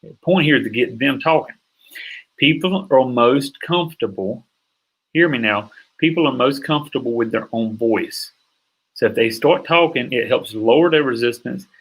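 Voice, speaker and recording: A husky middle-aged man delivers a sales lesson with a mid-range voice. He talks with energy and emphasis, and he keeps checking in with his listeners as he coaches. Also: mid-range voice, normal speed, low-quality recording. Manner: energetic, emphatic, acts out sample calls. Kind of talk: online course lecture.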